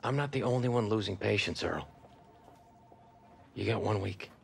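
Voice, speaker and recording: A man's voice: lowish voice, moderate pace, creaky, a little hiss or hum. A man playing a polite but pointed middle-aged team executive in a video game drama. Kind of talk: scripted game drama acting.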